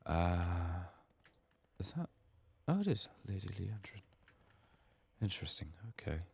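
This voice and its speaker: A man with a sick, strained voice murmuring soft-spoken ASMR gaming commentary, sometimes near a whisper, thinking aloud close to the mic. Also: very animated, measured pace. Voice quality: husky, gravelly, very low. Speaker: game streamer making a playthrough.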